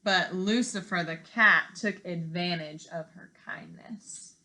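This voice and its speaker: A young woman reading a children's storybook aloud as a teacher, expressive, with deliberate emphasis. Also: lowish voice. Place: living room at home.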